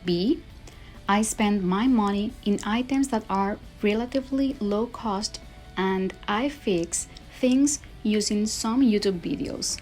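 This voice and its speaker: Earnest Puerto Rican woman, mellow voice. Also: mid-range voice, rough recording. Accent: Puerto Rican Spanish. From Puerto Rico. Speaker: speaker. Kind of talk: personal story talk.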